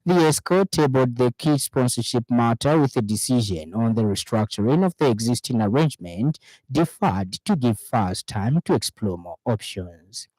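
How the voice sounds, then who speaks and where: A man's voice: medium pitch, lively intonation, normal speed, a little mellow, no echo, rough recording. A man with a Zambian accent reading a scripted radio news bulletin in a studio.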